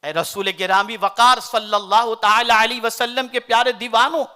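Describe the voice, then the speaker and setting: A man from India, preaching a sermon in an oratorical style that swings between loud declaiming and soft recitation. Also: very high voice, steady tone, moderate pace, smooth. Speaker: Islamic preacher.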